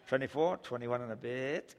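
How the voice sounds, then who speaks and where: An older man from England, preaching a sermon with humorous storytelling. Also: medium pitch. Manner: humorous storytelling, chuckles at own jokes. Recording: long hall reverb.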